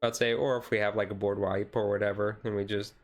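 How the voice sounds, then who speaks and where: Low-voiced man, casual deck commentary. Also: little pitch movement, quick pace, soft background music. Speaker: streamer.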